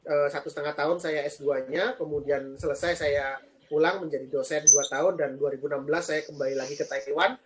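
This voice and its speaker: A man in his thirties from Indonesia, a guest on a live-streamed interview, explaining things earnestly with frequent pauses. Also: a little high, fairly even intonation. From Lampung, Indonesia. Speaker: guest, PhD student and lecturer.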